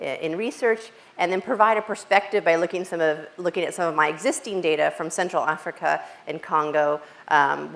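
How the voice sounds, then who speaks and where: Woman speaker, lowish voice, loud delivery, academic talk in a lecture hall on a hall mic, many fillers and restarts. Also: vocal fry. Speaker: invited speaker. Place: lecture hall with some echo. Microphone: hall microphone.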